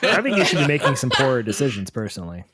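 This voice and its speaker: A man's voice: mid-range voice, very animated, racing, over a noisy crowd. A man playing a tabletop roleplaying game, bantering casually with the other players.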